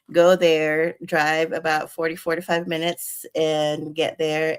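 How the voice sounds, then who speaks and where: A woman in her forties from the Philippines chatting as a guest, with many fillers and frequent laughs. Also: low-pitched. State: unwell. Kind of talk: casual chat.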